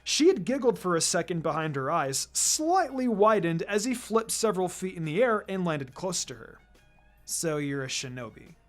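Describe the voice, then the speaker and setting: Fan fiction narrator, mid-pitched, animated intonation, background music. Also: normal speed, hardly any pauses. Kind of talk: expressive story narration.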